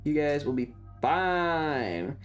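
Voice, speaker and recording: A woman's voice: low voice, big pitch swings, very slow, faint music behind. A woman giving casual gameplay commentary as a streamer, offhand and thinking aloud. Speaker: game streamer.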